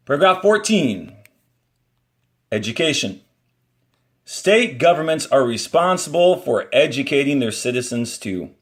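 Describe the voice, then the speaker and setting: A man teaching young students, reading a textbook aloud in a deliberate, instructional way and adding brief explanatory asides as he goes. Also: medium pitch. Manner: deliberate, instructional, signposts each page and paragraph.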